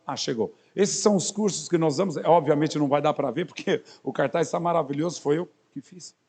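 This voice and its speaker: A man's voice: on the high side, a little fast, husky. Brazilian pastor in his fifties, joking. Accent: São Paulo Portuguese. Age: 50s. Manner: warm, joking, many fillers and restarts. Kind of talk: sermon introduction, speaking to a congregation.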